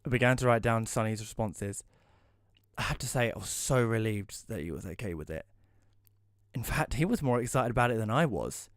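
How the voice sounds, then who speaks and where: A man playing a hesitant magazine writer who tells his own story in an audio drama, in a conversational, slightly halting way. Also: lowish voice, animated, no echo, studio quality, close to an everyday voice. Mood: relieved. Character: Rory, male magazine writer, hesitant, self-doubting narrator. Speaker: narrator and lead character. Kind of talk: first-person audio drama narration with dialogue.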